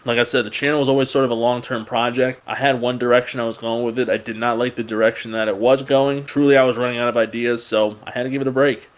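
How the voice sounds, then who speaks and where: A man's voice: medium pitch, level tone, fast talker, few pauses. A young adult man hosting a car channel, talking in a casual, rambling, unscripted vlog style to his viewers.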